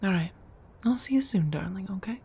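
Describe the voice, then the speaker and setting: A woman playing a gentle, guarded, centuries-old vampire roommate in a soft-spoken ASMR roleplay, with measured, formal phrasing and endearments. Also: mid-range voice, lively intonation, husky. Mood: apologetic, fond. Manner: measured, formal wording with endearments, hesitant confessions.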